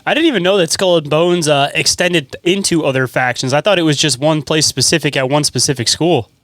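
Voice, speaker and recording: A man's voice: a little high, fairly quick, a bit bright, no room sound. A man hosting a casual interview podcast, asking informal, roundabout questions in a hesitant way. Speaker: podcast host.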